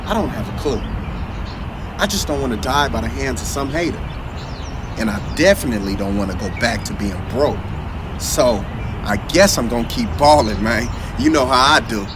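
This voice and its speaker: A man's voice: mid-range voice, animated, moderate pace, natural voice. Streetwise, defiant young male hustler for an urban audio drama, played by a man; slangy delivery over noisy outdoor ambience. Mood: resigned, defiant.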